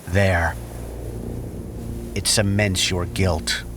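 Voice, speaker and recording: An actor playing a bitter, cryptic exiled schemer in an audio drama, speaking in a measured, theatrical way with pauses. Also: male voice, deep voice, flat delivery. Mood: grave, accusing. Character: exiled bitter brother, cryptic accused schemer demanding sanctuary. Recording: faint background noise.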